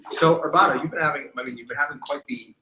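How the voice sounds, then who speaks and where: A man's voice: a little high, fast, reverberant, crowd noise, poor audio. A man hosting a live podcast, rambling and often restarting his sentences. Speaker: podcast host.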